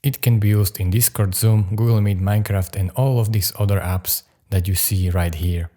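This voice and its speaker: Male tutorial narrator, deep and soft-toned, giving step-by-step software instructions. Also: steady tone, moderate pace. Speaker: tutorial host.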